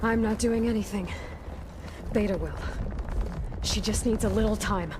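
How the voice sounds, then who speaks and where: A woman's voice: mid-range voice, a little slow, natural voice. Young female warrior lead voiced by a woman, terse, urgent, commanding, game combat din behind her. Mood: resolute. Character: determined young woman warrior leading allies in battle. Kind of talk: video game voice acting. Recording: battle noise behind the voice. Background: game combat sound effects.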